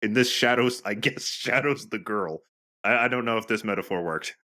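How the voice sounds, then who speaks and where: A man's voice: on the low side, moderate pace, sharp tone. A young man bantering casually and jokingly as a co-commentator on a game. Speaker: let's play co-commentator.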